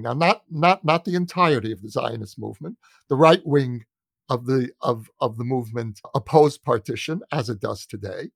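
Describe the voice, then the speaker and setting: Deliberate American man, close dry sound. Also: mid-range voice, dry recording. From United States. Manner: deliberate, emphatic, with repeated words and pauses for weight. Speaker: interview guest, journalist and author. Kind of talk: reflective conversational argument in an interview.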